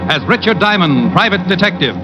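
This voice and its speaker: A man's voice: sits high, animated, brisk, dull audio. A man announcing a sponsored radio program in scripted old-time style. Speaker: show announcer. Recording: vintage radio recording under loud music.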